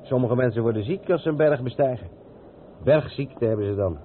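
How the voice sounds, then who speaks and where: An actor playing an experienced, patient truck driver who explains things step by step in a radio play. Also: male voice, medium pitch, fairly quick, close to an everyday voice. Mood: explaining, chatty. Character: experienced, patient long-haul truck driver showing the ropes. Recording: tinny, band-limited old radio recording with noise.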